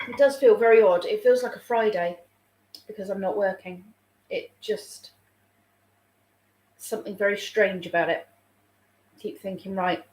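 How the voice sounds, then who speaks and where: A chatty woman rambles on a home live stream, greeting viewers by name between stories. She sounds slightly snuffly, with a sore throat coming on. Her mid-range voice rings out in a roomy, echoey room. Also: mid-range voice. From England. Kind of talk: casual live stream chat. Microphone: phone.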